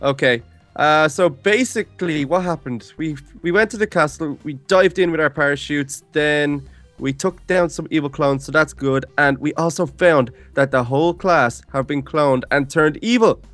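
A man's voice: a little high, normal speed, a bit bright, dry recording, soft background music. A man giving playful improvised roleplay commentary in a gaming video, narrating the action and repeating short commands.

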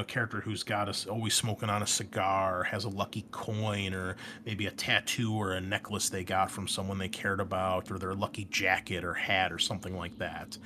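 A man's voice: on the low side, a bit bright, a little hiss or hum. A man hosting a casual, unscripted tabletop game explainer, talking in a conversational way and wandering into asides as he goes. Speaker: host and game master introducing a tabletop game.

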